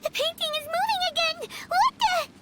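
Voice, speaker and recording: A woman voicing a tiny, excitable, childlike flying fairy companion in a video game, squeaky and full of exclamations. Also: normal speed, background noise. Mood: indignant. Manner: refers to self in third person, exclamatory.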